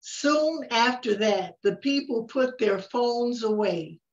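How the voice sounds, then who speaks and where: Unhurried older woman reading a storybook, slightly dull. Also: fairly high pitch, animated. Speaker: story reader. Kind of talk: picture-book read-aloud with casual asides.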